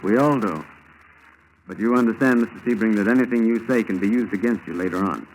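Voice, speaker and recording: An actor playing a friendly, casual undercover FBI agent in 1940s radio style, on a noisy old recording. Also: male voice, on the low side, fairly quick, a little mellow, lightly acted. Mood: official.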